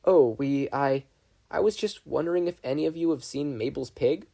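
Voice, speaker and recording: A man's voice: on the high side, moderate pace, creaky, warm tone, voice pushed up. A man voicing an anxious, self-doubting boy adventurer in a story, hesitant and stammering. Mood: nervous, hesitant.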